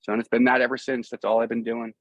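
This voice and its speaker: A middle-aged American man being interviewed as a musician, talking casually and earnestly in halting, rambling sentences with repeated phrases. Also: mid-range voice, little pitch movement, dry recording. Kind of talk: casual, rambling conversation about a music career.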